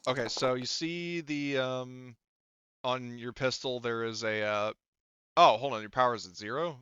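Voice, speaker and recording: Crisp, bright-voiced man refereeing a game. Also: mid-range voice, normal speed, no room sound. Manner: casually profane. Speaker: game master. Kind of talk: casual tabletop game refereeing. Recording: dull, lo-fi close dry voice track.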